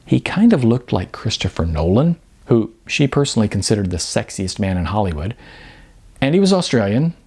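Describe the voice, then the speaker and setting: A man narrating comic fiction as an expressive audiobook reader. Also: medium pitch, vocal fry, breathy edge.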